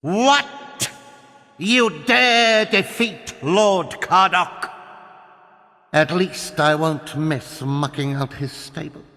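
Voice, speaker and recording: An actor playing a theatrical, gloating villain sorcerer in a video game, with a pompous, sneering and mocking delivery. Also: male voice, a little high, very slow, pushed and bright, big-room sound, heavily acted. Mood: menacing, indignant. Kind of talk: video game cutscene acting.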